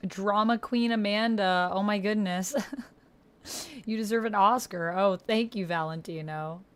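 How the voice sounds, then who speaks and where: A woman's voice: medium pitch, measured pace, clear, resonant tone. A woman hosting a livestream in an effusive, chatty way, casually talking with her chat as she plays a roleplay game. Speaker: livestream host playing a text roleplay game. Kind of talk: casual livestream chat, reading chat and scenes aloud.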